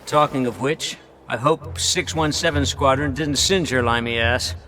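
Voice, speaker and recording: An actor playing a brash, cynical but jovial wartime intelligence officer with an American accent and a teasing, folksy drawl in a game cutscene. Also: male voice, medium pitch, moderate pace, bright, forceful tone, a little hiss or hum, lightly acted. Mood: sardonic, teasing. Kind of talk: video game cutscene acting.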